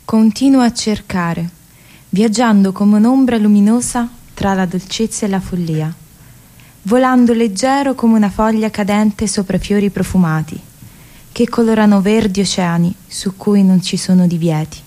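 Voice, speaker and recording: An actress as a mellow, dreamlike siren voice. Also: female voice, medium pitch, close to an everyday voice. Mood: gently prophetic. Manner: lyrical, measured, incantatory. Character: female siren-like inner voice, gentle, prophetic, dreamlike. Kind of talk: poetic radio theatre recitation.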